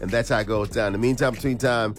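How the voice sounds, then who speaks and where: A man's voice: lowish voice, fairly even intonation, quick pace, faint music behind. A congested man hosting morning radio, talking in hype, repetitive call-and-response banter with his co-host.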